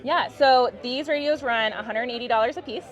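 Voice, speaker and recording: A woman's voice: on the high side, brisk, some chatter behind. Woman explaining a product, muffled sound. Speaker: company representative presenting a product. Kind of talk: explanatory product demo in an interview. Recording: muffled band-limited audio with music behind. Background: background music.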